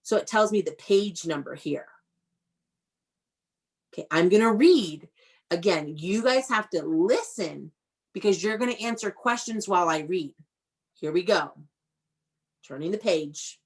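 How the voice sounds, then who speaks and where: A woman's voice: on the low side, lively intonation, unhurried, pauses often, slightly rough. Woman teacher leading an online read-aloud. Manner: instructive, prompting repetition, enunciating key words. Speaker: elementary school teacher. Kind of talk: interactive online read-aloud lesson for young children. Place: indoors, on a video call. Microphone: video call.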